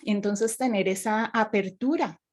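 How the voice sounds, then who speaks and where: A woman's voice: medium pitch, unhurried. A woman raised in Colombia, a youth minister, giving earnest advice and telling personal stories in a panel discussion.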